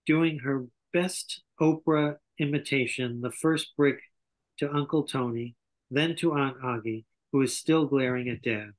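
A man in his sixties narrates a script's stage directions at a table read. His voice sits at an average pitch, recorded over a video call in a small room at home. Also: unhurried, rough recording. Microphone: computer video call.

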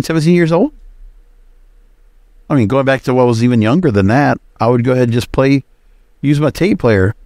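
A man's voice: medium pitch, darkish tone, no echo, studio quality. A man hosting a solo podcast, talking casually in a rambling, conversational way. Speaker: podcast host.

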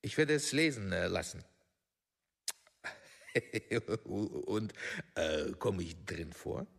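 An actor in a radio drama playing a pompous, impatient, blustering veteran film producer. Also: male voice, fairly low pitch, sing-song, very slow, gravelly, fairly bright tone, long reverb tail, full character voice. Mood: curious, amused. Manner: pompous, hesitant filler sounds, switches languages, barks orders.

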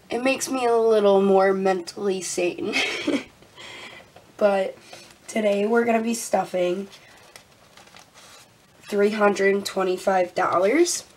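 A young woman vlogging casually at her desk. She sounds congested and a little raspy, laughs often and counts money out loud. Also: mid-range voice, a little hiss or hum. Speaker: budgeting vlogger. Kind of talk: casual talk while counting cash. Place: indoors at a desk.

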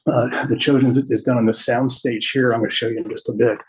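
A man in his fifties hosts a casual walk-through with keen, halting delivery. His voice is mid-pitched and very husky, picked up indoors on a handheld phone during a video call. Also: fairly even intonation, low-quality recording. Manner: enthusiastic, halting while walking and talking. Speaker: podcast host giving a studio tour. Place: indoors, walking through studio offices and hallways. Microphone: phone held at arm's length on video call.

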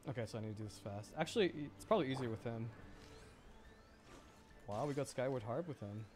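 A man's voice: medium pitch, animated, fast, over music. A man streaming a video game, reacting casually and thinking aloud in short exclamations. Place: livestream voice-over with game music and sounds behind.

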